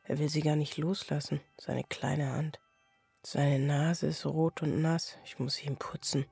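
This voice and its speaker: A woman's voice: low-pitched, level tone, a little slow, creaky, dark tone, breathy edge, no echo, exaggerated voice. An actress playing an anxious, overwrought mother of a small son in a radio drama, brooding and intimate. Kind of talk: German radio drama monologue. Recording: music under the voice.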